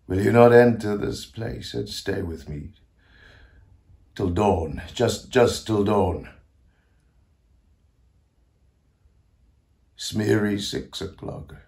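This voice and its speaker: An actor playing an aged, grandiose Italian composer near death, confiding in a theatrical stage monologue with a creaky voice and self-mocking manner. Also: male voice, fairly low pitch, slow. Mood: solemn pleading. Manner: theatrical, declamatory, swinging to hushed asides.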